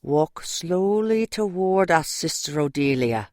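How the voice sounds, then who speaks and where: An actress playing a brisk, authoritative Mother Superior in an audio drama, bossy and no-nonsense. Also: lowish voice, expressive, noisy background. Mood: firm coaxing.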